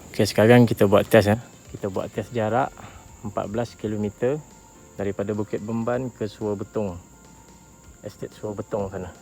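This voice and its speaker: Gravelly low-voiced Malaysian man. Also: fairly even intonation, moderate pace, darkish tone, faint music behind. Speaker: product reviewer. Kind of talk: casual outdoor vlog talk. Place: outdoors, high up on a tower.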